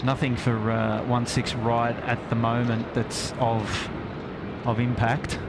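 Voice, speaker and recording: Heavy outdoor airport noise surrounds an Australian man. He has a mid-pitched voice and talks casually, commentating live for a stream. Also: level tone, slow pace. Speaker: live stream host, plane spotter. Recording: loud outdoor airfield ambience behind voice.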